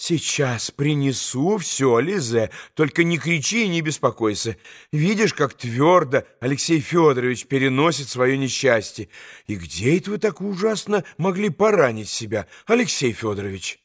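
A man's voice: medium pitch, very animated, normal speed. A man reading an audiobook and voicing a flustered, gushing middle-aged noble mother who speaks in dramatic exclamations. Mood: soothing, fussy. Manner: breathless exclamations, dramatic and fussy.

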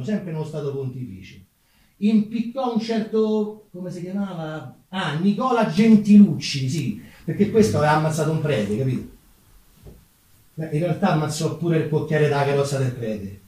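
Far off in a very echoey room, a male actor plays a talkative Roman street umbrella mender from the 1840s. His voice sits a little high, with a thick Roman accent. He is folksy and self-deprecating, tossing conversational asides in a stage monologue. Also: a little mellow, close to an everyday voice. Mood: wry storytelling. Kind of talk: theatrical monologue in dialect. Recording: very echoey room, distant microphone.

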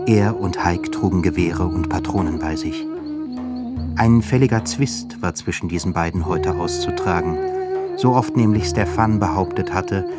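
A man narrating a literary radio drama from a script in a grave, deliberate manner. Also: low voice. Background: prominent music.

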